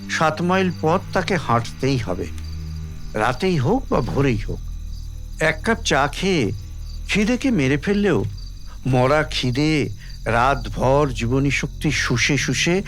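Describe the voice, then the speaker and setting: A man reading literary fiction as dramatic audiobook narration, measured, with deliberate pauses between phrases. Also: mid-range voice, sing-song, a bit bright, faint music behind. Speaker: story reader.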